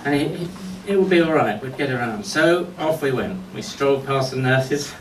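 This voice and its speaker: A man's voice: medium pitch, animated, moderate pace. Echoey, distant man giving a wry tribute. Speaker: speaker giving a memorial tribute. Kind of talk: eulogy speech with humorous anecdotes. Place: indoor function venue at a golf club, before an audience. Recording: echoey room, distant mic, faint noise.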